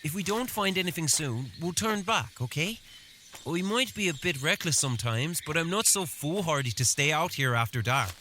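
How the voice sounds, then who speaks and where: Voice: medium pitch, lively intonation, moderate pace, pushed and bright, a little hiss or hum. An actor playing a reckless but protective older brother, a young boy adventurer, earnest in an audio drama. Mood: defensive.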